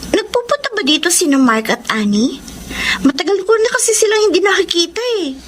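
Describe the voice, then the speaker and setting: A woman plays a hopeful young heroine in a Filipino radio drama. Her voice is high, sweet and trusting, over faint ambient noise. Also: sing-song, fairly quick. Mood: earnest, hopeful.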